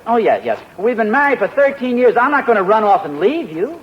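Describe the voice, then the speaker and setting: A vain, easily flustered husband, who talks in a high voice that swings up and down a lot. He is indignant and fishes for flattery. It is a dull, faintly hissy old radio broadcast. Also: brisk. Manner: indignant, wheedling, self-flattering. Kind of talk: 1940s radio sitcom acting.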